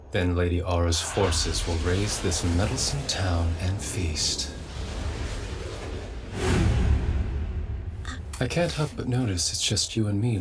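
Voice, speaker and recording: Low-voiced, aristocratic demon envoy. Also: male voice, background noise. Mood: calmly scheming. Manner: composed, measured, politely condescending. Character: cold, calculating aristocratic male demon envoy, smoothly deceitful. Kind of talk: English anime dub acting.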